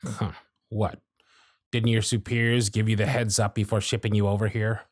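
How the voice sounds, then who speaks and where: A man voicing a jaded, century-old male workshop supervisor who is gruff, weary, sardonic and blunt, in an ASMR roleplay with close miking. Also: lowish voice, little pitch movement, moderate pace, dry recording, natural voice. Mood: bitter, exasperated. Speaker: voice actor in audio roleplay.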